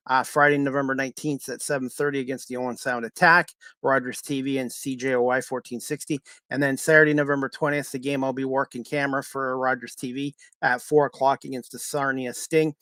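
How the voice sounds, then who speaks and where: A man hosts a casual live sports show. His delivery is halting and hesitant, and the sound is dry, with little room around the voice. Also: mid-range voice, a bit bright, dry recording. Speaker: podcast host. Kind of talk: casual live sports talk with scripted-sounding news updates.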